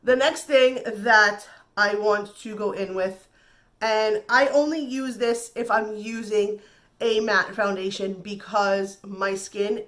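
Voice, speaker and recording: Slow-talking nasal woman vlogger. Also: mid-range voice, a bit bright. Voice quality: slightly nasal. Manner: chatty and reassuring, repeats words. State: recovering from flu. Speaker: beauty vlogger. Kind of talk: casual makeup tutorial.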